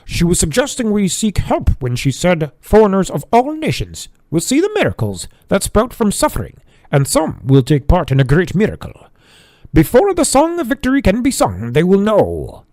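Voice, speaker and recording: A man's voice: high voice, wildly swooping pitch, normal speed, a little mellow, dry recording, full character voice. A man playing an earnest, deferential monk in an audio drama, speaking in a polite, precise, formal manner. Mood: earnest, reverent. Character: earnest, deferential monastery brother.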